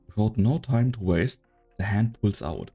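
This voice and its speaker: A man narrating a fan dub of a game story in a measured, descriptive way. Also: on the low side, dark tone, slightly rough, soft background music. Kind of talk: scripted narration.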